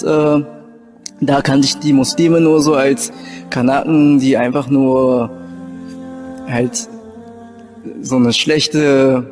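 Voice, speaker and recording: A man's voice: medium pitch, darkish tone. A young man from Japan who later lived in Germany, giving an informal personal testimony and often searching for words. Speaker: convert telling his story.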